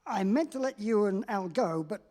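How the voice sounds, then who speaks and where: A harried male city editor, acted live by a stage performer, hesitant and placating, stumbling through his sentences. Mid-pitched and ordinary in tone. The sound carries a long reverb from a large concert hall. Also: expressive, moderate pace, dark tone, lightly acted. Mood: flustered. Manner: hesitant, full of uh's, placating. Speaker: amateur radio play actor. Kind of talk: live staged radio play reading.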